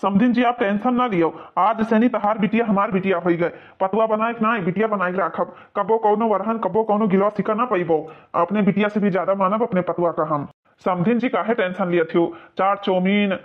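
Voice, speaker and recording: A man's voice: high-pitched, close to an everyday voice. A man voicing a proud, reassuring father of the groom with an Awadhi accent in a cartoon. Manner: reassuring, boastful. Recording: muffled lo-fi sound.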